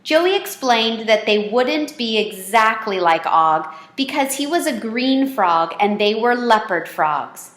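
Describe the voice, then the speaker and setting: A woman's voice: a little high, lively intonation, takes it slowly, hardly any pauses, a bit bright. A woman reading a children's storybook aloud as the storyteller. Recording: echoey, roomy sound.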